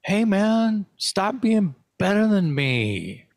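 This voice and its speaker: A man co-hosting a podcast, talking in a rambling, halting way with casual swearing. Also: high voice, very animated, no room sound, studio quality. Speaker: podcast co-host. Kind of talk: casual interview chat.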